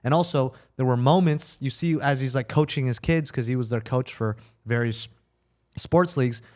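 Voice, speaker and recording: A man's voice: mid-range voice, moderate pace, a little mellow. A young American man chatting casually in a group, explaining things in a reflective way. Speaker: podcast participant.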